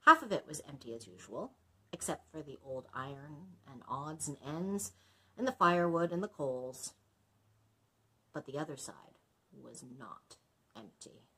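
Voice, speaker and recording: A woman's voice: low-pitched, a bit bright, slightly rough. A woman librarian reading a story aloud expressively, breaking off to explain things in chatty asides.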